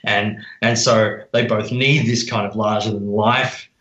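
Halting man in a roomy, dull recording. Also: on the low side, steady tone, fairly bright tone. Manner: halting, thinks aloud. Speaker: podcast guest. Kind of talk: casual film discussion.

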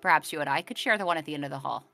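Female player in a made-up accent, low, bright and lively. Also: very fast, vocal fry, soft background music. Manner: puts on a made-up foreign accent. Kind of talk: playing a character in a tabletop game.

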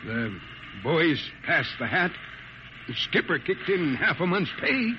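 A man's voice: on the high side, big pitch swings, slow. An actor playing an awkward, conciliatory spaceship crewman with a hesitant, placating manner in a 1950s radio drama. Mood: uneasy, placating. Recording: very noisy, muffled old radio recording.

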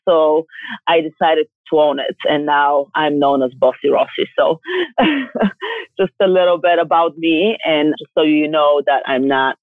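A woman from Bulgaria with a Bulgarian accent, a yoga teacher and coach talking in a direct, forceful way and laughing often. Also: low-pitched, a little slow, no room sound. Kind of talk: casual interview talk. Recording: tinny phone line.

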